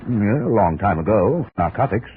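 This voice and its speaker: Man as fussy, proper dentist in old radio drama. Lowish voice, hesitant but animated, tinny recording. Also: normal speed, close to an everyday voice. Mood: defensive. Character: cautious, proper male dentist. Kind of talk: 1950s radio acting. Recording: noisy, narrow-band vintage radio recording.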